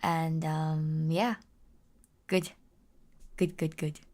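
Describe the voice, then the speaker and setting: A woman live streamer chatting casually while slightly ill, her voice rough and lower than usual, giggling often between rambling remarks. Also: level tone, extremely slow, a little mellow.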